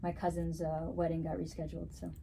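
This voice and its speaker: A woman's voice: lowish voice, monotone, fast talker, gravelly, mellow, a little hiss or hum. A young woman, Greek her first language, answering interview questions in an upbeat, chatty way, often wrapping up with "so, yeah". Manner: upbeat, chatty, frequent "so, yeah" wrap-ups. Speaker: guest, figure skater and musician.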